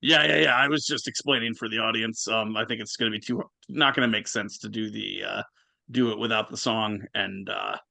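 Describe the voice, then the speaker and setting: A man's voice: lowish voice, fairly even intonation, a little fast, creaky, sharp tone, no room sound. A man hosting a live reading, rambling and full of fillers as he explains what gets skipped. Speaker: host and narrator of a reading. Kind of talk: casual explaining between scenes. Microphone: video call.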